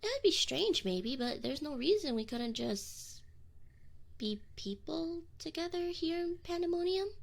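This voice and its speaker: A woman's voice: very high-pitched, expressive, creaky, full character voice. A woman playing a young tsundere succubus in an intimate ASMR roleplay, prickly and defensive, with affection and neediness showing through. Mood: earnest, tender. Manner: defensive, teasing, halting when admitting feelings. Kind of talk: scripted ASMR roleplay acting, intimate direct address.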